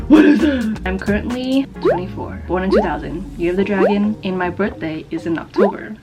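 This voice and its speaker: A young woman in her mid-twenties, raised on the US East Coast with Vietnamese as her first language, talks to her viewers in a rambling, self-mocking way full of fillers. Her voice sits at a middling pitch, and music plays clearly behind her. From East Coast of the United States. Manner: chatty and rambling, many fillers, quick bursts, self-mocking jokes, frequent laughs. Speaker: YouTuber. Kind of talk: casual get-to-know-me chat to camera. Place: her own room at home.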